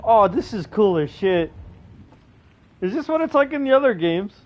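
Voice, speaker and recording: A man's voice: high voice, very animated, normal speed. A man giving casual commentary while playing a video game. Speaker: gamer. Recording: dull lo-fi mic, faint music.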